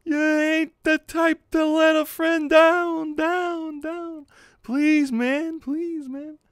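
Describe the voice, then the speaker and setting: Man putting on a high, pushy teenage punk. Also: level tone, extremely slow, no room sound, studio quality, full character voice. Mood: pleading. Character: pushy teenage schoolmate, pleading punk slang.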